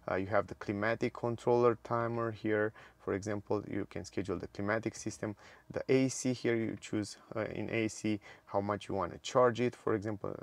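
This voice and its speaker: Low-voiced man giving a car screen tour. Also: no echo. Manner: non-native English with small grammar slips, many "uh" fillers, enthusiastic. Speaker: car reviewer. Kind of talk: walkaround car review with screen demo. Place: inside a car.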